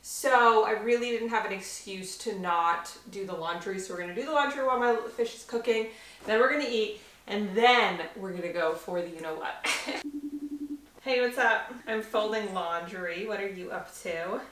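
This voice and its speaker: A woman's voice: mid-range voice, normal speed, fairly bright tone. Woman, 20s, gushing casual vlog talk, roomy apartment sound, camera mic. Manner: bubbly, chatty, gushing. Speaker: lifestyle vlogger. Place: small apartment, indoors. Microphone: camera mic, handheld or set on a tripod. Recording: echoey room with faint noise.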